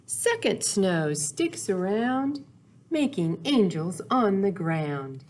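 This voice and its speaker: A woman's voice: mid-range voice, sing-song, slow, darkish tone, slight background noise. A woman leading a storytime for young children, reading aloud and talking in simple, sing-song phrases. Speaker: children's library storytime host. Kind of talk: children's storytime with read-aloud, songs and questions.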